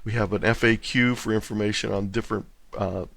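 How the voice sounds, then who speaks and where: Low-voiced man explaining things, dry close sound. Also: steady tone, fairly quick, vocal fry, fairly bright tone, no echo. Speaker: interview guest, contest organizer. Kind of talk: explanatory interview talk.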